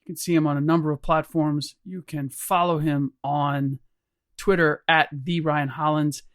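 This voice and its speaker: A middle-aged man hosting a podcast in casual chat, his voice hoarse and a little rough, rambling with restarts and small chuckles. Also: fairly high pitch, little pitch movement, moderate pace, fairly bright tone, no room sound, studio quality. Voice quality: slightly rough voice. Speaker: podcast host.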